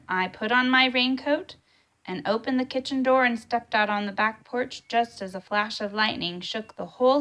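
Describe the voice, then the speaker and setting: A woman's voice: medium pitch, bright, forceful tone. A woman voicing a kindly old grandfather, telling a childhood tale with emphasis in a storybook read-aloud.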